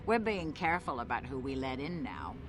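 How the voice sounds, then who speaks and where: A woman's voice: rumbling low, sing-song, fairly quick. A woman playing a wary, protective gang-base guard in a video game, firm and businesslike. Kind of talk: video game character dialogue. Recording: music behind the voice.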